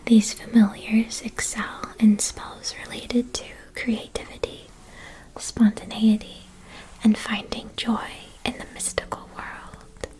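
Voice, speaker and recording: A woman whispering an ASMR roleplay as a polite, attentive witch-school shopkeeper, explaining in measured, deliberate phrases. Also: on the high side, level tone, a little mellow, rough recording.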